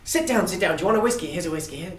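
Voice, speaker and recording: A man's voice: a little high, lively intonation, brisk, fairly bright tone. A man voicing a cheery, nonstop-talking 95-year-old Scotsman who keeps fussing over his guests, in a put-on Scottish accent. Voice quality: talks over others nonstop, fussing hospitably. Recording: echoey room from far off.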